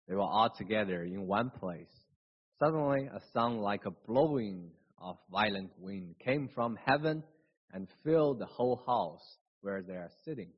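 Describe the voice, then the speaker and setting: A man with a Chinese accent, whose first language is Chinese, reading scripture and preaching a sermon in a halting way, with restarts and slips. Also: fairly low pitch, slightly rough. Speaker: pastor. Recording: dull lo-fi recording.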